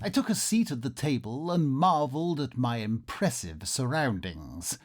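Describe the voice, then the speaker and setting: An actor playing a curious, polite Victorian nobleman in a radio play, melodramatic and genteel, with a husky, breathy voice. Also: medium pitch, big pitch swings, moderate pace. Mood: wondering, suspenseful. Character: curious, polite Victorian nobleman narrating his eerie adventure. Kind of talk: theatrical radio drama narration and dialogue.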